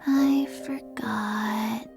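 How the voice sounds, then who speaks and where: A woman voicing a small, forgetful zombie girl in a game, with a deadpan, halting delivery. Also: a little high, vocal fry, over loud music, exaggerated voice. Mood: solemn, forgetful. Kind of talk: scripted game dialogue.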